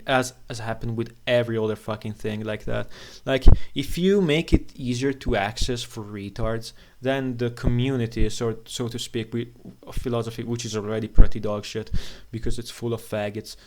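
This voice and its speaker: A man's voice: medium pitch, darkish tone, a little hiss or hum. Blunt, scornful man ranting off the cuff. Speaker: video commentator. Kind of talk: unscripted opinionated rant.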